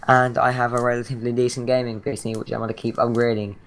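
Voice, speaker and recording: Croaky teenage British lad. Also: male voice, mid-range voice, flat delivery, fast talker. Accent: British English. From Britain. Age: teens. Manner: rambling, chatty, many fillers and restarts. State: hoarse, croaky from a sore throat. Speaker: channel host. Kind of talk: casual gaming channel intro.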